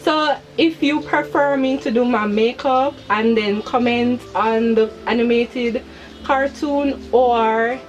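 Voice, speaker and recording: Casting: young woman channel host, fairly high voice, hyper and giggly, repeats words for emphasis, music underneath. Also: slow, muffled recording. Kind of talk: chatty personal commentary to viewers.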